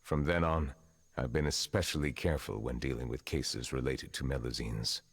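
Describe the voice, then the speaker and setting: An actor playing a reserved, centuries-old chief justice in a fantasy video game, speaking in measured, formal phrases. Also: male voice, rumbling low, steady tone, breathy edge, no echo, studio quality, heavily acted. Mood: solemn, reflective. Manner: measured, formal, stately diction.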